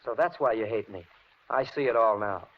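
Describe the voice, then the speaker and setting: An actor playing a resentful, unstable young handyman in a 1950s radio suspense drama, accusing and taunting in a deliberate, brooding manner. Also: on the low side. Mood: bitter, accusing. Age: young adult. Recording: muffled, noisy 1950s radio recording.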